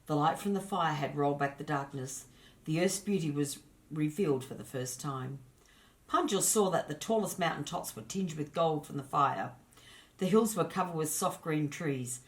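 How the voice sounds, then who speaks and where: Female storyteller for children's narration, low register, expressive and deliberate scripted read.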